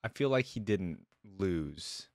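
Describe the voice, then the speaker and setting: A man's voice: low-pitched, lively intonation, takes it slowly, vocal fry, a little mellow, dry recording. A man hosting a reaction video with casual, informal commentary, thinking aloud as he goes. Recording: dry close voice, music behind.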